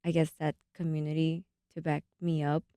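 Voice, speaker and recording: Low, mellow-voiced young woman. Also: flat delivery, normal speed, clean tone, no room sound, clean studio sound. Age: young adult. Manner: hesitant, earnest, with fillers and restarts. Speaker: storyteller and massage studio owner. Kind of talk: casual interview chat. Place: radio studio.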